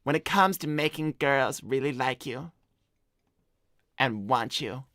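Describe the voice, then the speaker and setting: A laid-back scumbag hedgehog played by a man with a mid-pitched voice. He talks in slangy, deadpan, self-assured livestream chat. The recording is close and dry. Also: slow pace, dry recording. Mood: cocky, bragging. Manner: slangy, swearing, deadpan bragging. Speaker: cartoon character co-hosting an advice livestream. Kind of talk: improvised in-character chat, reading donor messages.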